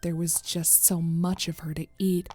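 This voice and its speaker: A woman's voice: on the low side, little pitch movement, unhurried, mellow, soft background music. A woman narrating a scripted horror story as its storyteller.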